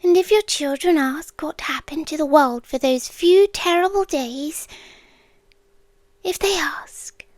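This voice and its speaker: A British woman playing an ancient, lovelorn world-ending being in an ASMR roleplay, speaking in a soft, breathy, intimate whisper. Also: high voice, no room sound. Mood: mournful farewell. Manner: slow, hushed, intimate, with pauses. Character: ancient female apocalypse entity, lovelorn, tender and melancholy. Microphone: close microphone in a dry space.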